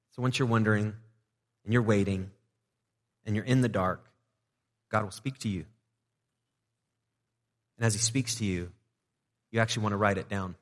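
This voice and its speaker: A male pastor preaching a casual, joking sermon to his congregation. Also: medium pitch. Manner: conversational and joking, with playful asides to the congregation. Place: church sanctuary. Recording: long reverb of a church hall.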